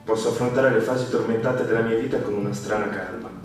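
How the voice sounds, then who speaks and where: Calm, lowish man reading. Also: fast talker. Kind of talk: literary reading. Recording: echoey, distant, music under.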